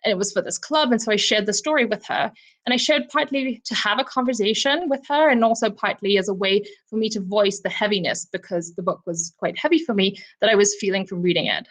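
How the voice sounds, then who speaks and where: A woman's voice: mid-range voice, a little fast, a bit bright. A woman from Zimbabwe, a professor co-presenting a virtual book club, reflecting personally on a novel with frequent ums and you knows. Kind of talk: virtual book discussion talk. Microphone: video call.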